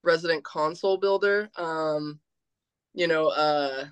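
A woman's voice: low-pitched, unhurried, clear, resonant tone, dry recording. A woman guest introducing herself in a casual podcast, hesitant with many ums. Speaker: podcast guest, content creator. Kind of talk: casual chat.

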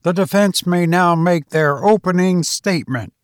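A man's voice: high-pitched, dry recording, studio quality, pitched above the performer's own voice, natural voice. A man voicing a stern, formal female judge in an audiobook courtroom scene. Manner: formal, deliberate.

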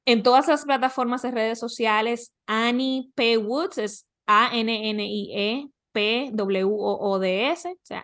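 Woman coach giving conversational advice, medium pitch. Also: a bit bright. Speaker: podcast guest, coach.

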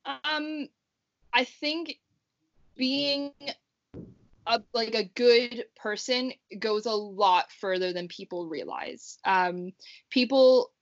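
A woman's voice: a little high, slow pace. A young woman guest talking casually in an interview, rambling and saying "like" a lot. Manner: rambling, heavy use of "like". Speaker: guest, pro wrestler. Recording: dull lo-fi remote audio.

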